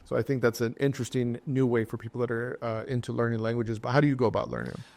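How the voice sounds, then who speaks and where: A middle-aged man hosts a relaxed interview, chatty and stammering a little with repeated words. His voice is gravelly, with plenty of fry at an ordinary pitch. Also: rapid-fire. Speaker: podcast host. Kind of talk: casual interview talk.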